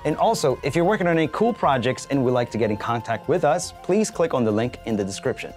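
Lively man hosting a tech show. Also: mid-range voice, quick pace, faint music behind. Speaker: show host. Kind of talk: scripted studio banter. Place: studio set with map backdrop.

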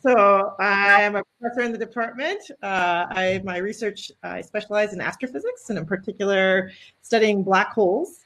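A woman's voice: medium pitch, normal speed, a bit bright, low-quality recording. A woman, an astrophysics professor, briefly introducing herself on a video call. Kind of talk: casual self-introduction.

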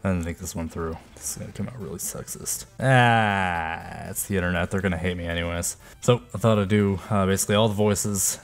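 A man's voice: sits low, brisk, faint music behind. A young man in his twenties chatting casually and self-deprecatingly into a headset mic in a small room. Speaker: YouTuber doing game voice impressions. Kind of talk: casual vlog chat. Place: small room indoors at a desk.

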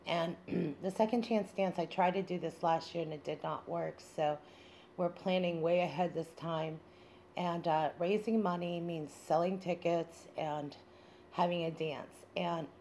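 A woman with a slightly low voice hosts her show solo. She talks informally and loosely, rambling as though improvising. There is faint noise behind her voice. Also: a little mellow. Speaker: show host. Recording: noticeable background noise.

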